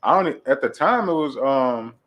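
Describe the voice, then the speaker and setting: A man in his late twenties with a naturally low voice, talking casually and a bit hesitantly as an interview guest. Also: animated, normal speed, muffled recording. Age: late 20s. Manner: laid-back, hesitant, many fillers and restarts. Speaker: guest rapper.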